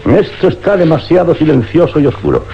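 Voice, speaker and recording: An actor playing a brisk, determined big-city police inspector in a radio play, with emphatic, theatrical delivery. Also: male voice, fairly high pitch, big pitch swings, soft background music. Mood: resolute. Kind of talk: dramatic radio play acting. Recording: dull lo-fi old radio recording.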